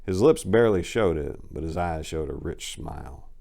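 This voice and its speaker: A man reads a crime story in a low, gravelly voice. The delivery is that of a dramatic audiobook narrator, with audible creak in the tone. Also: animated, moderate pace, dark tone.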